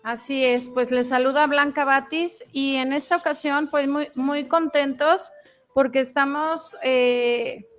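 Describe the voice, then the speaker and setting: Woman radio host, high voice, slow and courteous delivery. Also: steady tone, faint music behind. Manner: unhurried, courteous, with fillers. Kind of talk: cultural radio program hosting.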